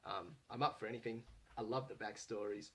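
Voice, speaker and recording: A man in his late twenties from Australia, pitching himself as a travel host in a casual video, earnest and friendly, with frequent fillers and self-corrections. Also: steady tone, fairly quick, pushed and bright. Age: 20s.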